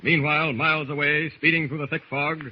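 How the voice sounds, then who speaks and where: A man narrates a vintage radio serial dramatically. His voice is fairly high and husky, delivered at a brisk clip on a muffled old recording. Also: flat delivery.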